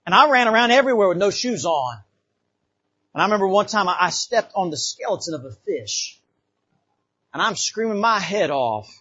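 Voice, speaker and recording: Fervent high-voiced preacher from the Bahamas. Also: male voice, very animated, normal speed, sharp tone, husky, highs cut off. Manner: fervent, repetitive, calls of amen. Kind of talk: revival sermon. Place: church.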